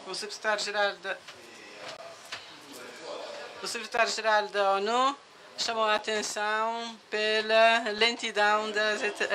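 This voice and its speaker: A man interpreting a speech, slow and halting. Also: high voice, sharp tone, slight background noise, low-quality recording. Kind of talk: simultaneous interpretation.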